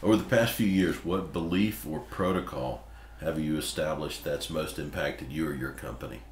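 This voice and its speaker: A man's voice: extremely low pitch, moderate pace. An older man hosting a podcast, asking brief questions read from notes, at a desk mic in a small studio room. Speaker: podcast host. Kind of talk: interview questions. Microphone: desk mic on stand. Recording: roomy with faint background noise.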